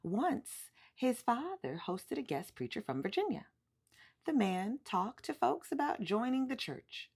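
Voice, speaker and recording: Female storyteller for a children's picture book, lively and emphatic, with some vocal fry. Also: fairly high pitch. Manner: animated, emphatic storytelling with drawn-out words. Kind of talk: expressive read-aloud of a children's picture book.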